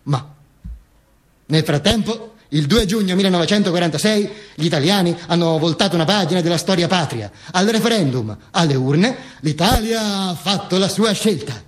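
Radio theatre: high-pitched dead dictator narrating his own afterlife played by a man; wry, mock-solemn, halting, repetitive. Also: expressive, slightly rough. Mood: mock-triumphant. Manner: stammering, repetitive, self-correcting, mock-solemn. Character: Mussolini's talking corpse, wry, pompous, rambling storyteller. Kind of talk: theatrical monologue.

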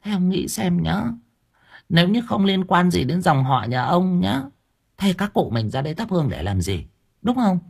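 A man voicing a sharp-tongued, scheming village wife who nags her husband and plots in low, coaxing tones. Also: very animated, moderate pace, gravelly, husky, heavily acted. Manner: nagging, conspiratorial, whispers and cajoles.

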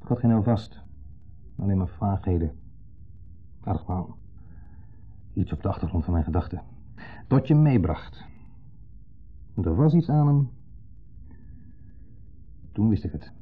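A muffled 1970s radio drama recording of an insecure, jealous husband figure with a Netherlands Dutch accent. His voice is low and husky. He speaks theatrically, repeating himself and pressing on words with a needling edge. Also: expressive, a little slow, long pauses, exaggerated voice. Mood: brooding, suspicious. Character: insecure, needling man dwelling on old jealousy. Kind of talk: 1970s radio play acting.

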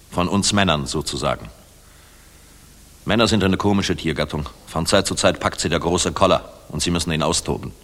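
An actor playing a dashing, world-weary middle-aged air force general in a 1960s German radio play, musing and commanding. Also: male voice, low-pitched, a bit bright. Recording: reverberant 1960s mono radio recording.

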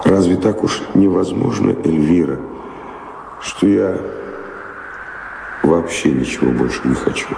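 Very deep, weary wandering man. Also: expressive, vocal fry, warm tone, slight background noise, exaggerated voice. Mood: wistful, intimate. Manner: musing, deliberate, pausing storyteller. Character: weary wandering man revisiting his old love, wistful philosopher. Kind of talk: theatrical radio drama acting. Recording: echoey hall reverb, music underneath.

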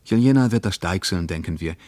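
An actor playing a male traveller reading his travel diary in a radio play, in a measured, observant literary manner. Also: sits low, lively intonation, a little mellow, dry recording. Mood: reflective.

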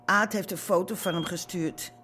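An actress in an audio drama playing a motherly café keeper, concerned and deliberate. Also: lowish voice, fairly even intonation, a bit bright, slight background noise, natural voice.

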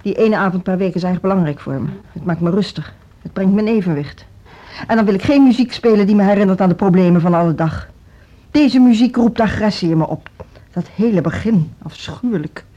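An actress playing an imperious, defensive music school director in a Dutch radio drama, speaking in a brisk, formal, dismissive way. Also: female voice, medium pitch, mellow, dull audio, lightly acted. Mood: weary, irritable.

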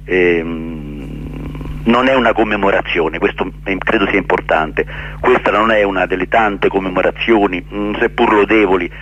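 A man answering radio interview questions earnestly and haltingly, repeating words for emphasis. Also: sits low, a bit bright, muffled recording, slight background noise. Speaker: interview guest, actor and director. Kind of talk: radio interview answers, explaining his play.

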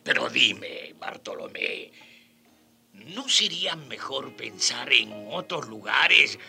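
A wry world-weary friar for radio drama, theatrical, real bright voice that swings up and down. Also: male voice, medium pitch, lively intonation, gravelly, breathy edge, soft background music, full character voice. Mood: persuasive. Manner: theatrical, drawn-out, emphatic.

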